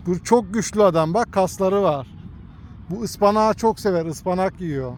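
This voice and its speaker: Gentle dad coaxing a toddler outdoors. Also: male voice, sits high, takes it slowly, a little hiss or hum. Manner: gently coaxing, points things out to a small child. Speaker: father filming his son. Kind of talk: casual family vlog. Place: outdoor park with cartoon statues.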